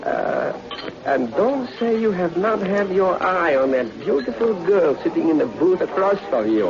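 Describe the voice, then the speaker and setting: An actor playing a chubby, genial restaurant owner in an old radio drama, speaking effusive broken English. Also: male voice, high-pitched, sing-song, unhurried, crowd noise, full character voice. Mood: jovial, coaxing. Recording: dull lo-fi vintage radio recording.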